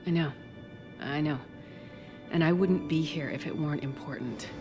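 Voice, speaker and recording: An actress playing a determined, secretive doctor in a game cutscene, speaking urgently and firmly. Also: female voice, sits low, normal speed, lightly acted. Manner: urgent, firm, evasive. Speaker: game character. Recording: game cutscene over loud music.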